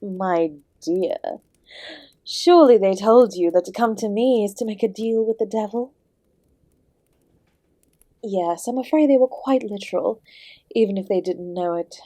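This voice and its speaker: A woman's voice: medium pitch, unhurried, long pauses, warm tone, no echo, clean studio sound, lightly acted. A woman playing the Devil in an audio roleplay as a charming, sardonic, ancient hostess who speaks to the listener with genteel, theatrical courtesy. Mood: amused, proud. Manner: genteel, teasing, theatrical, calling the listener "my dear". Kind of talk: scripted audio roleplay, addressing the listener directly.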